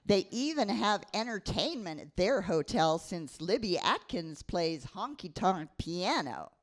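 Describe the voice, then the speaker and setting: A woman's voice: mid-range voice, very animated, a little slow. A woman voicing a bossy, bookish nine-year-old girl who narrates a story at a live reading. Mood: matter-of-fact storytelling.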